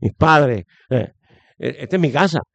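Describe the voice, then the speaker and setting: A man's voice: a little high, animated, normal speed, gravelly, fairly bright tone, no room sound. A middle-aged man with a Puerto Rican accent giving opinionated political commentary in conversation, stressing points by repeating phrases. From Puerto Rico.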